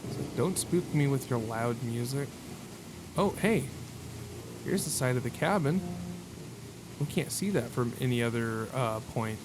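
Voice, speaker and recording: A man's voice: medium pitch, expressive, a little slow, pauses often, music in the background. A man doing casual let's-play commentary as a gaming host, joking and self-deprecating in slangy talk.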